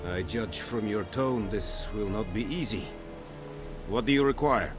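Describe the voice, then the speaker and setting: An actor playing a stern, pragmatic commander of an occupying army in a video game, formal and measured, speaking with a foreign accent. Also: male voice, fairly low pitch, over music, close to an everyday voice. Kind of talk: dramatic video game acting.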